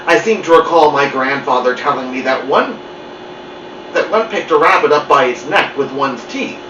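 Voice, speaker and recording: A man reading a children's book aloud in an animated storytelling style. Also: fairly high pitch, normal speed, a little hiss or hum. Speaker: story-time reader. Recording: muffled, roomy, distant mic.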